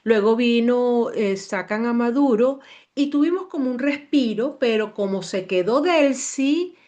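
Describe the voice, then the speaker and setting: A woman's voice: a little high, a little slow, highs cut off. Venezuelan woman explaining astrology. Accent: Venezuelan Spanish. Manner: animated, repetitive, digressive. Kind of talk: casual explanatory talk.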